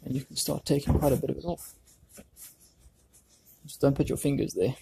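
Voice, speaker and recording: Male DIY presenter, mid-pitched with some fry, informal workshop talk, light noise behind. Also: fairly even intonation, normal speed, warm tone. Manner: informal, thinking aloud. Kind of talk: casual hands-on workshop commentary. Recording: background noise, clicks and handling sounds.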